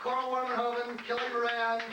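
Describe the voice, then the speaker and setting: A man's voice: extremely high pitch, completely flat delivery, fairly quick. A man hosting a live event, informally announcing to the room. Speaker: event host introducing the cast. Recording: echoey room, distant mic, loud noise.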